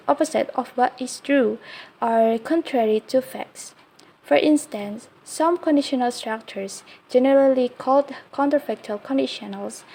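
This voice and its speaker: A woman's voice: sits high, creaky, warm tone, low-quality recording. A young woman, a student presenter carefully reading lecture slides aloud with small slips. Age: young adult.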